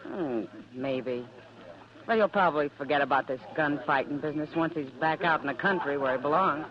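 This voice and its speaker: A woman's voice: low voice, a little fast, crowd noise, lightly acted. An actress playing a worldly, protective frontier woman in a radio Western, speaking frankly and with some world-weariness. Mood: reassuring. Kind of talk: 1950s radio Western acting. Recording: dull vintage radio recording with music.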